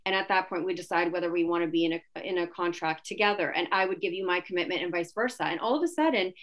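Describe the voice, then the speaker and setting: A woman's voice: on the low side, fast, a bit bright. A woman from Poland, a realtor on a webinar panel, earnestly and animatedly retelling a client meeting over a video call from a home office.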